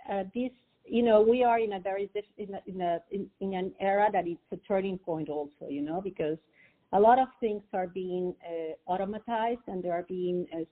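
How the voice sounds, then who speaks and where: Peruvian woman on a tinny phone line. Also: medium pitch. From Peru. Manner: hesitant, many fillers and restarts. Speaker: guest, financial advisor. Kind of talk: interview over the phone.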